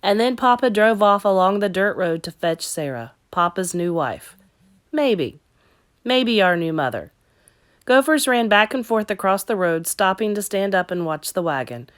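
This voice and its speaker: A woman's voice: medium pitch, lively intonation, normal speed, clean tone. Woman reading a children's story aloud. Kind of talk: expressive children's read-aloud narration.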